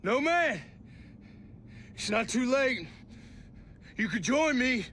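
An actor playing a menacing renegade military commander in a video game, taunting and calling out forcefully. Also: male voice, extremely high pitch, big pitch swings, slow, heavily acted. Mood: menacing, persuasive. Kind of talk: video game cutscene acting. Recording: faint ambient noise behind.

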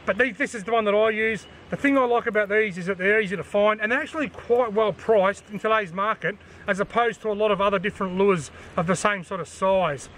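A man's voice: sits high, brisk, few pauses, a bit bright, slight background noise. An Australian man in his forties, talking casually to camera outdoors at the edge of a forest, explaining things in a practical way and repeating the main points. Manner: chatty, practical, repeats key points for the viewer. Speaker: fishing channel host. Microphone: camera on a tripod at chest height.

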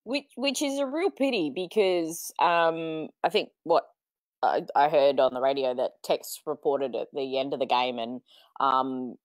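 An Australian woman with a croaky voice, giving thoughtful views in a casual sports chat. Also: deep voice, measured pace, no room sound.

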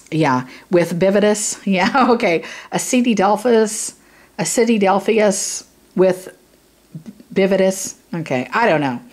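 Hoarse, chatty woman vlogger. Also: medium pitch. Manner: rambling, chatty, many fillers and "you know what I mean". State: hoarse and raspy from sinus trouble. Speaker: YouTube beauty vlogger. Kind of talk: casual product review to camera. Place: indoors, filming a product close up.

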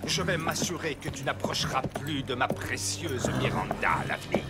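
An actor playing a scheming, menacing old magician in a fairy-tale cartoon, with a mocking, theatrical, drawn-out delivery. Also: male voice, mid-range voice, big pitch swings, whispered, close to an everyday voice. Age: older. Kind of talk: French animated fairy-tale cartoon acting. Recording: loud background music.

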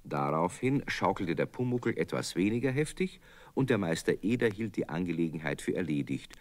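A man's voice: low voice, big pitch swings, normal speed. A man narrating a scripted children's radio play as its storyteller.

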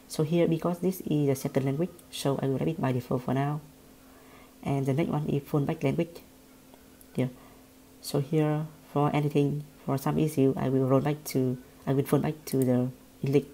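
A woman with a Vietnamese accent, a native Vietnamese speaker, walking through a software screen recording in a halting way, often repeating words and restarting. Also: sits low. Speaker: software tutorial presenter.

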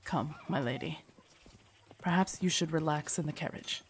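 A woman playing a loyal, protective female knight in an audio drama, formal, deferential and earnest, with a husky voice. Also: low-pitched, normal speed, a little hiss or hum, close to an everyday voice. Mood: dutiful, gentle. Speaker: voice actor in audio roleplay. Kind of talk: acted immersive audio drama monologue.

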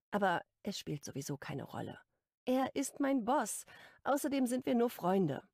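A woman's voice: mid-range voice, darkish tone, no echo, clean studio sound. A woman narrating a first-person romance audiobook in an intimate, lightly playful tone.

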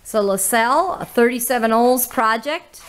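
A woman, hosting a casual auction walkthrough in an old barn full of cars, listing items with little "uh" pauses. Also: on the high side. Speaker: auctioneer host giving a car walkthrough. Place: inside old barns and sheds full of cars.

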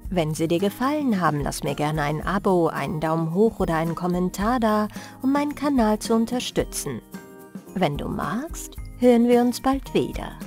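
A woman storyteller reading scripted bedtime fairy tales with expressive, careful phrasing. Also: fairly low pitch, moderate pace, faint music behind. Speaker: storyteller, audiobook reader.

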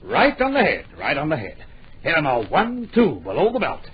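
An actor in a radio drama playing an absent-minded, blustering book publisher who mutters, dithers and repeats himself. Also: male voice, a little high, huge pitch swings, brisk. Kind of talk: old radio drama acting. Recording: dull, hissy old radio recording.

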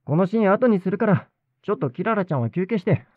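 An actor playing a brisk, polite recording director in an audio drama, businesslike in manner. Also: male voice, high voice, expressive, narrow, tinny audio, very noisy. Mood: matter-of-fact. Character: businesslike recording director running a studio session.

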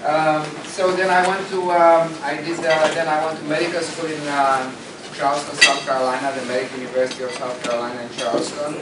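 A man's voice: a little high, little pitch movement, brisk, noisy background. Romanian-accented man lecturing in a roomy hall. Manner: rambling, with many fillers and self-corrections. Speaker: eye surgeon giving a lecture. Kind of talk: informal lecture to colleagues. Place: hotel conference room, indoors. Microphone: far camera. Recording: roomy, distant, loud dinner clatter. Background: cutlery and dishes clattering.

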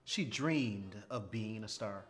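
A middle-aged man reading a children's storybook aloud with expressive, measured, dramatic phrasing and pauses. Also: fairly low pitch. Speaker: storybook reader. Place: small furnished indoor room, seated. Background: music.

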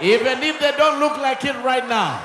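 High, shouting Kenyan comedy host. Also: male voice, lively intonation, moderate pace, heavy background noise. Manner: shouting call-and-response to the crowd. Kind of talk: live stand-up hosting. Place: large outdoor concert stage at night. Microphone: handheld mic. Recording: echoey live stage with loud music.

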